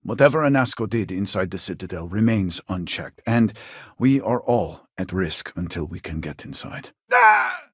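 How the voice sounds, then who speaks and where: Husky, formal male game scientist. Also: sits low, wildly swooping pitch, a bit bright, no room sound, studio quality, exaggerated voice. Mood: alarmed shouting. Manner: formal, measured, articulate. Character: earnest, exasperated male scientist in a devout sci-fi society. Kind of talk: video game character dialogue.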